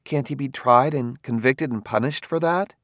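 Man voicing an emotional, pleading woman, pitched up. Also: moderate pace, no room sound, clean studio sound, natural voice. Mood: urgent pleading. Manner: earnest, insistent, breaking into hushed speech.

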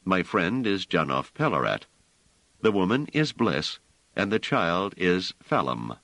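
A man's voice: low voice, very animated, unhurried, fairly bright tone, dry recording, voice pushed up, exaggerated voice, low-quality recording. A man voicing a wary, wry male space traveler who leads his small group.

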